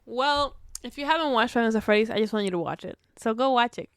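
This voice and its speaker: A woman's voice: on the high side, expressive, quick pace, clear, resonant tone, no echo, studio quality. A young adult woman co-hosting a podcast, chatting casually in slangy, playful banter with short reactions. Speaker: podcast co-host.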